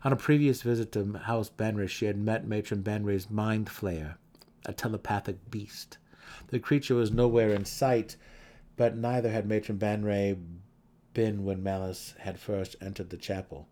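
A man giving a dramatic, theatrical reading of a fantasy novel as an audiobook narrator, with measured phrasing. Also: fairly low pitch, fairly even intonation, dark tone.

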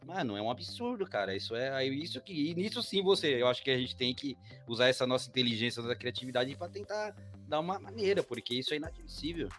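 A man's voice: mid-range voice, lively intonation, fairly bright tone, soft background music. A Brazilian man hosting a podcast in a slangy, chummy casual chat. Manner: slangy, chummy, quick asides. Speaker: podcast host.

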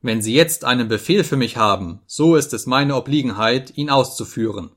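A man voicing a self-important, imperturbable manservant, lisping and speaking in a measured, pompous way in an audiobook story. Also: medium pitch, lightly acted. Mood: haughty calm. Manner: slow, quiet, measured, pompous.

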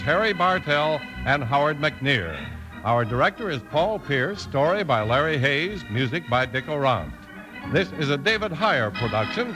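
A male announcer from 1950s radio delivers scripted copy with showman's emphasis. His voice is high and very animated. Music plays behind him. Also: rough recording.

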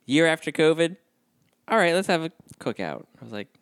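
A man's voice: on the high side, a little fast, clean tone, dry recording. A man in his thirties co-hosting a casual podcast chat, playful and joking. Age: 30s. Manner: playful, jokey, animated. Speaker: podcast co-host.

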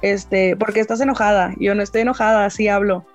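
A woman's voice: mid-range voice, steady tone, fast talker, lo-fi sound, faint music behind. A woman from northern Mexico with a northern Mexican accent, chatting frankly and firmly as a guest. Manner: frank, firm and talkative. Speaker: podcast guest. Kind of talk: casual chat.

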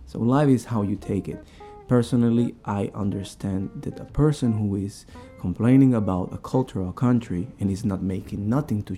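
A man's voice: fairly low pitch, warm tone. A man who is a non-native speaker, giving his opinions casually and emphatically as an interview guest. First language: not English. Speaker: podcast guest.

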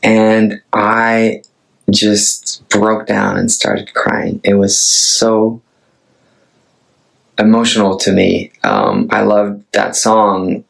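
A man in his thirties with a Norwegian accent speaks at home in a low, gravelly voice. He talks very slowly and thoughtfully, pausing often and restarting his sentences. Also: flat delivery, rough recording. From Norway. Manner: hesitant and thoughtful, many restarts. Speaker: singer-songwriter. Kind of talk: casual chat to camera.

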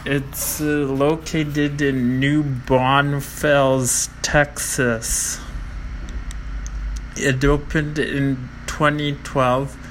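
A man slowly reading out a list of world records, halting often with fillers and stumbling over foreign names. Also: on the high side, a bit bright, slight background noise, poor audio. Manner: slow, halting, with many fillers and self-corrections.

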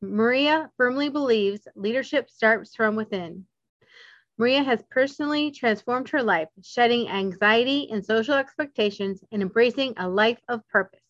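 A woman's voice: a little high, normal speed, a bit bright, no room sound. A woman hosting a podcast who reads a formal introduction and then asks questions in a friendly, chatty way. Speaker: podcast host. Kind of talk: podcast interview. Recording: dry, dull lo-fi sound.

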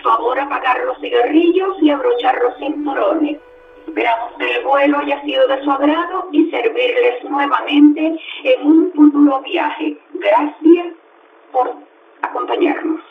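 A woman's voice: high-pitched, measured pace, creaky, recorded from a distance, phone-quality sound, a little hiss or hum. A woman playing a courteous flight attendant making a formal announcement in a radio drama.

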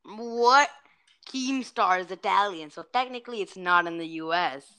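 A woman's voice: mid-range voice, sharp tone, clear, resonant tone. A young woman co-hosting a casual podcast, joking and often restarting her sentences. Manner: halting, restarts often, jokey. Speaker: podcast co-host.

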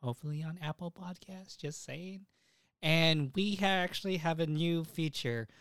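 Clear-voiced man hosting a casual podcast. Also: fairly high pitch, moderate pace, fairly bright tone, no echo, studio quality. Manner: informal, slangy. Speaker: podcast host.

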